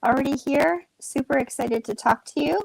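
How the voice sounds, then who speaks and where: A woman's voice: high voice, moderate pace, gravelly, darkish tone, no room sound, poor audio. A woman hosting a casual livestream, upbeat and welcoming as she greets the chat and the guest. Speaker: livestream host.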